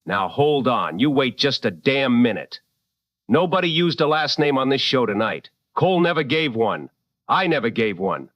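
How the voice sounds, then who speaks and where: An actor playing a seasoned, protective late-night radio host in a drama, with a firm, wry and deliberate delivery. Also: male voice, medium pitch, big pitch swings, no room sound, dull audio, lightly acted. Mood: indignant, protective.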